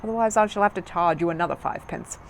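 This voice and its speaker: A woman's voice: on the low side, very fast, gravelly, a little hiss or hum, poor audio. A woman voicing a brusque male park attendant in a storybook, colloquial and strict about rules. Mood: brisk warning. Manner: rule-bound, ungrammatical, emphatic.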